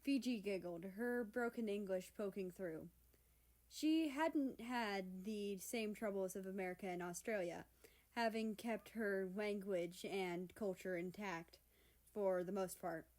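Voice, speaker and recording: A woman's voice: medium pitch, measured pace, darkish tone. A woman narrating a scripted story as its reader. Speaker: fan fiction reader, narrator.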